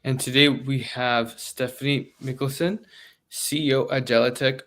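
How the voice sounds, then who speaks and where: A man hosting an interview, asking loose, rambling questions with restarts and steady backchannel agreement. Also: mid-range voice, moderate pace. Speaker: podcast interviewer.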